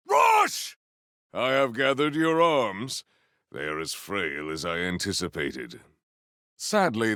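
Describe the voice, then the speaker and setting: Deep gravelly game warrior, proud and solemn, super formal old-timey talk, big dramatic swings in pitch. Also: male voice, a bit bright, no room sound, studio quality, full character voice. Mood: battle cry. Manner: formal, archaic, grandiose phrasing. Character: proud, honourable warrior with solemn, grandiose temper. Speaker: video game voice actor. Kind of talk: theatrical video game character lines.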